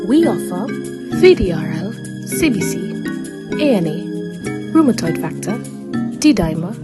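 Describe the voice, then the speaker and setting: A woman reading a radio commercial in a bright, sing-song selling tone. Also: medium pitch, very animated, a little slow. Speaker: ad announcer. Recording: commercial with loud music bed.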